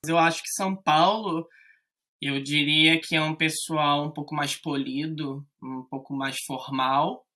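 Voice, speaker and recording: A man from Rio de Janeiro with a Carioca accent, chatting as a podcast guest in a relaxed, rambling way with frequent fillers. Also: on the high side, unhurried. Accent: Carioca Brazilian Portuguese. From Rio de Janeiro, Brazil. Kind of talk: casual conversation.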